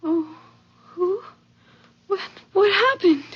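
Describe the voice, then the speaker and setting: An actress playing a sensitive, breathless young bride-to-be in a vintage radio mystery drama. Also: female voice, extremely high pitch, takes it slowly, slight background noise. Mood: shaken. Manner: earnest, breathless, wide-eyed. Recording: dull, lo-fi old radio recording.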